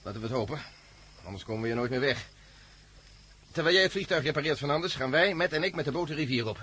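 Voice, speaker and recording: An actor playing a decisive, wary expedition commander in a radio play, giving orders briskly. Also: male voice, on the high side, lively intonation, bright, forceful tone, breathy edge, exaggerated voice. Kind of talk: radio drama dialogue.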